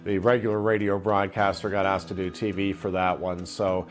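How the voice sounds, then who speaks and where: A man's voice: sits low, little pitch movement, moderate pace, faint music behind. A middle-aged American man, a radio sports broadcaster, telling stories reflectively in an interview. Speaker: radio wrestling play-by-play broadcaster.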